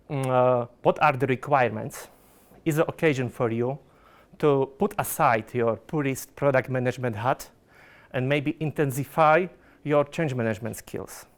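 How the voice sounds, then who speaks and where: A Slovak man, a product manager, giving a conference presentation in careful non-native phrasing full of fillers and restarts. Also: medium pitch.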